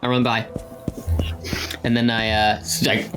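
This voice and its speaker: A man's voice: medium pitch, level tone, a little slow. Male tabletop player over faint music. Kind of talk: casual improvised roleplay chat.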